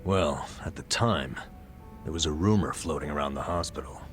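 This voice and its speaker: Very deep, weary burned-out man. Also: moderate pace, a bit bright. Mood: reflective, low-key. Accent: American. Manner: wry, self-deprecating, weary. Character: burned-out, self-deprecating former male nurse confiding a past. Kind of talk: video game dub, confessional storytelling. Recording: music behind the voice.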